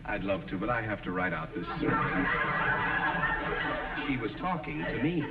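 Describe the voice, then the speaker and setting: An actor playing a flustered, wry door-to-door survey taker in a 1950s television sitcom. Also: male voice, medium pitch, very animated, moderate pace, creaky, big-room sound, very noisy. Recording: muffled old television soundtrack, distant microphone.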